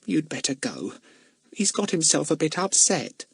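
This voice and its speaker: A man's voice: sits high, huge pitch swings, measured pace, vocal fry, breathy edge, dry recording, pitched above the performer's own voice, full character voice. A man voicing a kind, motherly housekeeper, soothing and deferential, in a children's audiobook. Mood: hushed concern. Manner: coaxing, reassuring. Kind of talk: acted children's audiobook dialogue.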